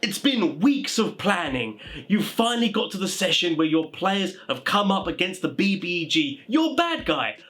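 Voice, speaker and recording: A man hosting a casual explainer for tabletop game masters, talking in an animated, rambling, informal way. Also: sits high, normal speed. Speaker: video host giving tabletop game master advice.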